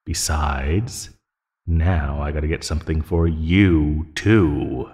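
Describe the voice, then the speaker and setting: A man's voice: very deep voice, heavily acted. A man playing a teasing, menacing naga predator in an ASMR roleplay, condescending and taunting in his delivery. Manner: slow, taunting, condescending, with soft chuckles and sighs. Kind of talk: ASMR roleplay audio drama. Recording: long hollow reverb.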